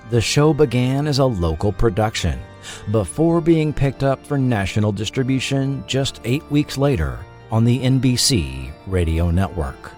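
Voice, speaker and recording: A man reading a scripted documentary narration as a podcast narrator, in a measured, dramatic storytelling manner. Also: medium pitch, a little mellow.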